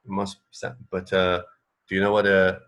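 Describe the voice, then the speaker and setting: A man's voice: low-pitched, monotone, moderate pace, fairly bright tone, clean tone, highs cut off. A man co-hosting a radio show in casual chat, hesitating and repeating phrases as he goes. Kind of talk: casual chat, trading fun facts.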